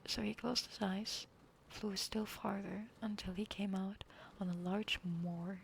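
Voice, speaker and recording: A woman reading a fairy tale aloud in a soft whisper, pausing now and then to apologize. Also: mid-range voice, steady tone, vocal fry, slight background noise. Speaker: reader.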